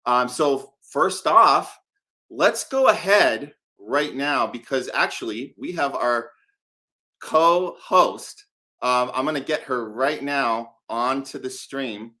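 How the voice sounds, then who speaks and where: A man's voice: medium pitch, lively intonation, slow pace, sharp tone. Conversational man hosting a live show. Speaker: show host.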